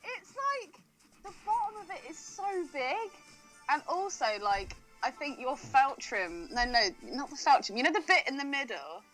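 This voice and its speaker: A woman's voice: high-pitched, very animated, moderate pace, a bit bright, soft background music, rough recording. A woman co-hosting a podcast, chatting casually about a film in a giggly, sweary way. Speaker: podcast co-host.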